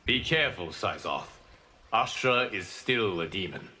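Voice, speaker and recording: A man's voice: fairly low pitch, lively intonation, a little slow, bright, forceful tone, low-quality recording. A man voicing a powerful, benevolent god in a video game, formal and stately. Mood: solemn counsel. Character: powerful, benevolent male god guarding his realm. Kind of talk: video game character dialogue.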